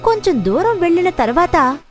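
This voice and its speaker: A woman's voice: very high-pitched, big pitch swings, moderate pace. A woman narrating a scripted children's story. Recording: music behind the voice.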